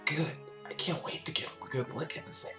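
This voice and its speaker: A man's voice: brisk, roomy sound, music in the background, close to an everyday voice. A man voicing a brash, cocky young female pegasus pony in a story reading. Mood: brash, eager.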